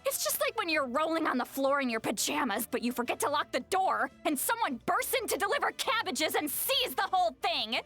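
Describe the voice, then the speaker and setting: Very high, flustered girlish game character. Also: sing-song, brisk, hardly any pauses, sharp tone, faint music behind, full character voice. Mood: embarrassed ranting. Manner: defensive, stammering, dramatic. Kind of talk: video game character acting.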